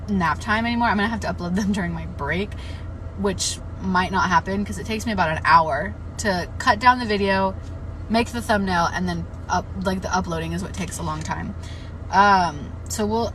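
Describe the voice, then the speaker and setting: A young woman talks loosely and frankly about books on her live stream, swearing freely and filling her speech with "like." Her voice is bright and edgy but worn a little hoarse. A faint noise sits under the recording. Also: mid-range voice, poor audio. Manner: chatty, frank, swears freely, many "like" fillers. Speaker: book livestreamer. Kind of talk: casual live-stream book chat.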